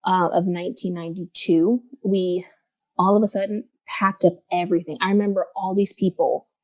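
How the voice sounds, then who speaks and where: A woman in her thirties, a guest from Los Angeles, speaking reflectively in a casual interview with many pauses and fillers. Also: on the low side, steady tone, a little mellow, dry recording, dull audio. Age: 30s.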